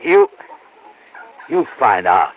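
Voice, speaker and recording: An actor playing a nervous, evasive immigrant neighbor with an Italian accent and broken English, in a 1950s radio drama. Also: male voice, fairly low pitch, extremely slow, slight background noise, full character voice. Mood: uneasy, evasive. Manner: broken English, excitable, pleading. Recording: muffled old-time radio recording.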